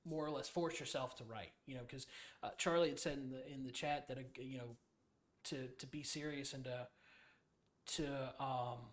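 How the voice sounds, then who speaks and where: A male podcast host with a medium-pitched voice talks casually with a guest. His delivery is loose and halting, feeling his way toward each point in plain, informal language that allows for some swearing. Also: fairly even intonation.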